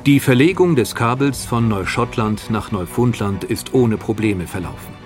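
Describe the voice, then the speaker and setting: A man narrating a scripted history documentary in a calm, factual delivery. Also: fairly low pitch, normal speed, over music.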